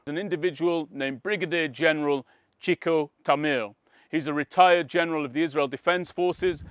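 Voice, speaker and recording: A man hosting a documentary, narrating in a measured, solemn way and then interviewing a guest. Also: on the high side, fairly bright tone, smooth, no echo. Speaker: host. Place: outdoors at a border lookout.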